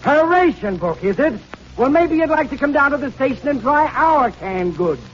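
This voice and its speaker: An actor playing a suspicious, sarcastic police officer, accusing in a 1940s radio comedy style. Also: male voice, very high voice, sing-song, moderate pace. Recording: muffled, noisy old radio with crowd.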